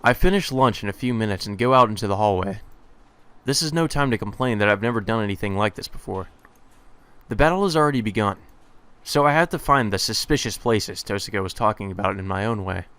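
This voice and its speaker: A man's voice: on the low side, lively intonation, brisk, a bit bright, smooth. A man reading a visual novel aloud as a let's player, mixing the game's text with casual asides.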